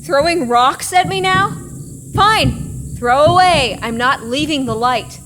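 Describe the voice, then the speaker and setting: A woman's voice: high-pitched, expressive, slow. A woman playing a frightened but stubborn runner alone at night, agitated and muttering to herself in an audio drama. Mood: defiant. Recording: clear noise, night insects behind.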